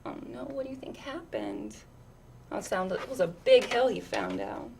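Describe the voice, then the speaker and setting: A woman's voice: fairly high pitch, huge pitch swings, moderate pace, vocal fry, darkish tone, slight background noise. A woman reading a children's picture book aloud expressively, with chatty asides and questions to her listeners.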